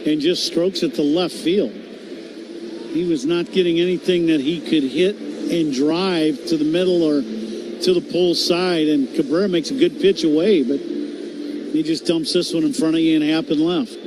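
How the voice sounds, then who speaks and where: A man's voice: on the high side, measured pace. An American man giving conversational color commentary on a live radio baseball broadcast from an outdoor ballpark. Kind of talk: conversational game analysis. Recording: outdoor ballpark broadcast with clear ambient noise.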